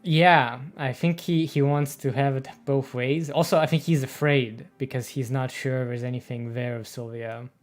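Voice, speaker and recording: A man's voice: medium pitch, fairly even intonation, moderate pace, few pauses. A man giving casual commentary as he plays through a game. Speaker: game streamer.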